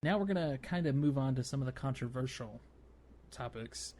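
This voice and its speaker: A man's voice: medium pitch, lively intonation, normal speed, dark tone. A man hosting a gaming podcast, explaining things in a casual, conversational way, with faint noise behind him. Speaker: podcast host.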